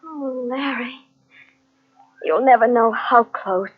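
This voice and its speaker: A woman's voice: fairly high pitch, takes it slowly, background noise. An actress playing a frightened, polite young woman in a 1940s radio crime drama, breathless and anxious. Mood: shaken, relieved. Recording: muffled old radio recording.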